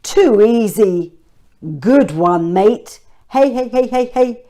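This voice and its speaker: Cheeky storybook crocodile crook, played by a woman, chummy and gloating, slightly lowered, animated voice. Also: takes it slowly. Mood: gleeful, gloating.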